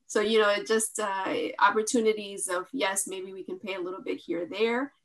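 A woman's voice: medium pitch, steady tone, a little fast, poor audio. A woman who works as a city staff liaison, speaking over a video call in an upbeat, helpful way.

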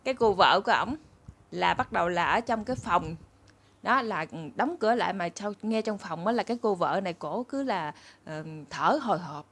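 A woman's voice: mid-range voice, animated, moderate pace, a bit bright, slightly rough. Chatty southern Vietnamese woman storyteller. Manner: chatty, conversational, addressing viewers as family. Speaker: channel host and storyteller. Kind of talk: casual storytelling to followers. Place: small room indoors.

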